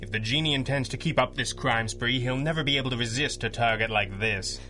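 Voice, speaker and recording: Guy doing suave brainy radio serial hero, bright punchy voice, dry wit, very proper wording. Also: mid-range voice, brisk, soft background music, lightly acted. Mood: analytical. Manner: wry, precise, formal wording. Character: urbane, analytical masked crime-fighting hero, wryly confident. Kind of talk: 1930s radio drama acting.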